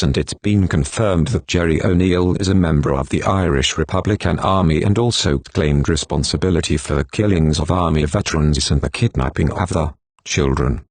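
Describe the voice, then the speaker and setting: A low-pitched man delivers a formal emergency alert. His delivery is flat and stilted, with a synthetic feel. The sound is dry and free of room echo. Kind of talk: scripted emergency broadcast announcement.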